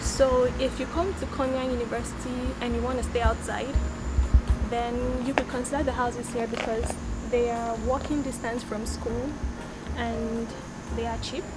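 A young woman in her twenties chatting casually while giving an outdoor campus walking tour, friendly and quick to laugh. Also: a little high, level tone, over loud music, rough recording. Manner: chatty, laughs often, friendly advice to viewers. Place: outdoors on a street and campus grounds.

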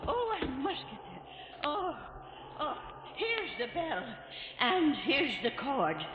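A woman's voice: medium pitch, sing-song, slow, background noise. An actress playing a cackling, half-mad old female lighthouse keeper on a spooky storybook record, with folksy rustic grammar and theatrical delivery. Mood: panicked. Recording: dull lo-fi record with music behind.

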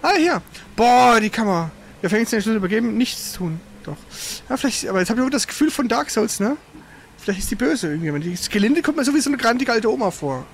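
A man with a high voice gives casual gameplay commentary. He thinks aloud informally, as if to friends, over faint background noise. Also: very animated, fast talker. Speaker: video game streamer.

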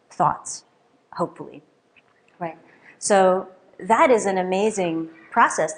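A middle-aged woman, a native Russian speaker, giving a conference keynote at a lectern in a lecture hall, lively and often checking in with "right?". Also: on the low side. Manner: lively, questioning, with frequent "right?" and fillers. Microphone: lectern microphone.

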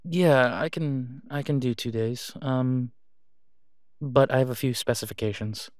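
A man plays a reluctant werewolf alpha in an audio roleplay. His delivery is casual and understated, with an awkward hesitance. The voice sits at a medium pitch in a dry, close recording. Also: measured pace, dry recording, lightly acted. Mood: businesslike. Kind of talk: naturalistic audio roleplay acting.

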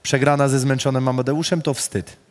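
A man hosting a rapid question round, reading statements aloud with a Polish accent and casually swearing. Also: mid-range voice, level tone.

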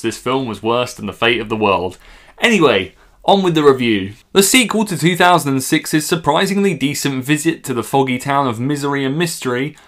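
A man's voice: medium pitch, a bit bright. A man in his twenties narrating a scripted video review in a sardonic, scornful way. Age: 20s. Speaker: film reviewer.

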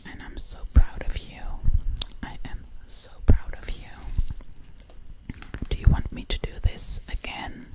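A woman hosting ASMR in a close, breathy whisper, soothingly repeating her phrases. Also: low-quality recording. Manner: slow, soothing repetition of phrases. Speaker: ASMR video host. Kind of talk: ASMR roleplay pampering. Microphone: close microphone.

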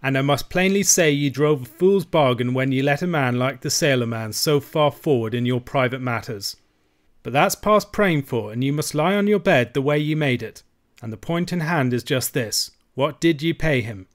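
A bold Highland man with a Scottish accent, put on by a male narrator for an audiobook. He bargains in a blunt, forceful way. His delivery is sly and taunting, with a sneer in it. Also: moderate pace, close to an everyday voice. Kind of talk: audiobook character voice.

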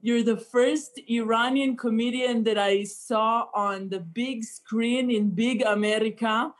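A woman's voice: on the high side, steady tone, slow pace. Joking woman comedian on call. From Israel. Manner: chatty, joking, many fillers. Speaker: stand-up comedian guest. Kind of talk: comic chat on a video call. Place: indoor room. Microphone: laptop webcam on video call.

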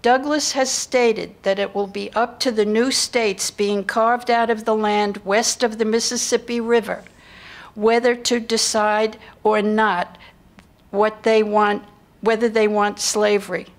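A woman's voice: medium pitch, level tone, unhurried. An older woman reading scripted historical narration into a lectern microphone. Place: indoor hall with seated audience.

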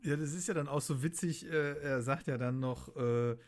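A man chatting casually as a podcast co-host, haltingly repeating words as he explains. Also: fairly high pitch.

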